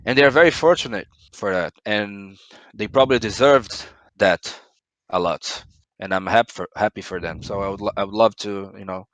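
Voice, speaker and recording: Brazilian male gamer, very low voice, dry home recording, thoughtful and halting. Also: a bit bright, dry recording, poor audio. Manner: thoughtful, searching for words, many fillers. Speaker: pro esports player guest. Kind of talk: casual interview over voice chat.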